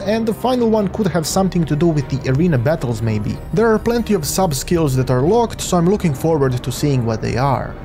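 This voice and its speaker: A man's voice: high-pitched, mellow. A man narrating a video game breakdown as a scripted voice-over for a gaming channel. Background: music.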